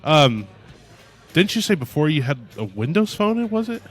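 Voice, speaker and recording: A young man hosting a podcast in a loud, booming voice. He rambles casually and laughs often. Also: very animated, normal speed, soft background music. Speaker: podcast host. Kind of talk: casual chat.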